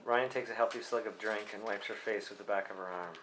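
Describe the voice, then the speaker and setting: Lowish-voiced man narrating, faint noise. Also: fairly even intonation, quick pace, fairly bright tone. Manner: plain read with small stumbles. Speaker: stage directions reader. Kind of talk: scripted narration at a table read. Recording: faintly noisy live room recording.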